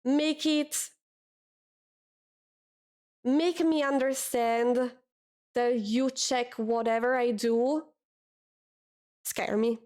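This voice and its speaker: A young Italian woman talks casually to her stream chat at a desk mic. Her voice sits a little high, and she repeats words as she goes. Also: fairly even intonation, extremely slow, long pauses, darkish tone, clear, resonant tone. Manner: chatty, repeats words, reads chat aloud.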